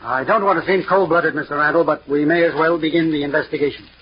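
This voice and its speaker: A husky methodical male police inspector from an old radio mystery. He is formal and businesslike, with a fairly high voice. The vintage recording is dull. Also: fast talker. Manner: matter-of-fact, formal.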